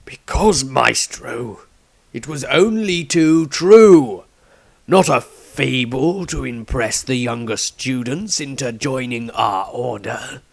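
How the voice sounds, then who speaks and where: A man voicing a grave old patriarch of a wizard order in a fantasy audiobook, solemn and deliberate, with dramatic pauses. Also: medium pitch, lively intonation, gravelly, no room sound, full character voice. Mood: solemn, emphatic. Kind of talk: fantasy audiobook character reading.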